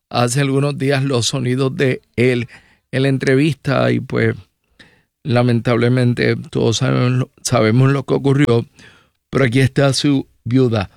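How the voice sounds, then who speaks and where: A man's voice: mid-range voice, gravelly, a little mellow, no room sound, clean studio sound. A man with a Puerto Rican accent hosting a radio talk show, conversational with rambling personal asides. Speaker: radio host. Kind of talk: radio news and interview talk.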